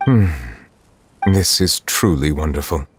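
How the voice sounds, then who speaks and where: An actor playing a solemn, courtly chief justice in a video game, speaking in a formal, measured way. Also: male voice, rumbling low, animated, noisy background, full character voice. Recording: background music under the voice.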